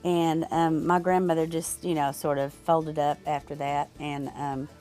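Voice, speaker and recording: An older woman from Arkansas talks about her family store in an interview. Her voice sits fairly low. She reflects slowly and halts often, with many fillers. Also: little pitch movement, dark tone, soft background music.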